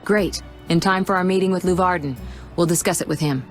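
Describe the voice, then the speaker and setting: A poised, knowing sorceress, acted by a woman for a fantasy game. Her voice sits fairly low and stays composed and shrewd. Soft music runs behind it. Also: fairly quick, natural voice. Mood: calm, knowing. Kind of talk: video game voice acting.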